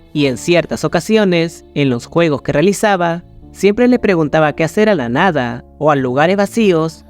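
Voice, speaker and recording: A man reading a scripted creepypasta narration as a storyteller. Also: fairly high pitch. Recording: music behind the voice. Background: background music.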